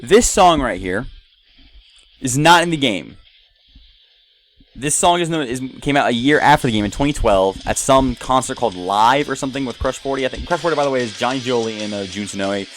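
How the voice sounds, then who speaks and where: A man's voice: mid-range voice, lively intonation, a little fast. A man giving casual, rambling gameplay commentary, full of enthusiastic fan asides and rephrasing as he goes. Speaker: gamer commentating a playthrough. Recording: game music playing behind voice. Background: video game rock music.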